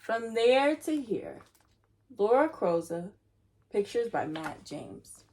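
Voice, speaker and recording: A woman's voice: a little high, big pitch swings, takes it slowly, darkish tone, slight background noise. A woman hosting a children's read-aloud, chatting playfully to young listeners and reading aloud.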